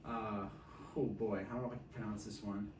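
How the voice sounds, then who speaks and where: A man's voice: fairly low pitch, level tone, fairly quick, darkish tone. Roomy, distant-sounding man on a podcast. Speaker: podcast host. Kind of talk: casual film discussion. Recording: roomy, distant mic, faint noise.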